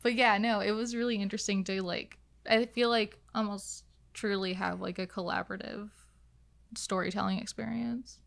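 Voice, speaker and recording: A woman's voice: mid-range voice, fairly even intonation, moderate pace, gravelly. A woman speaking as a podcast guest in casual conversation, thinking aloud with frequent filler words. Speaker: podcast guest, game designer. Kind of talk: casual chat.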